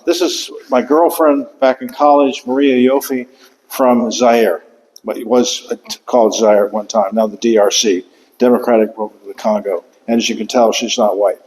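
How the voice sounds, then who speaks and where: An older man giving an author talk in a lecture room, speaking haltingly with many fillers and restarts. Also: medium pitch, darkish tone. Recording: long hall reverb.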